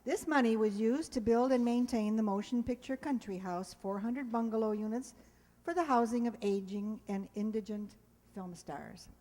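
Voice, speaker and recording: A woman's voice: on the high side, normal speed, dark tone. A woman hosting, giving a scripted spoken introduction to a show. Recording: reverberant hall recording.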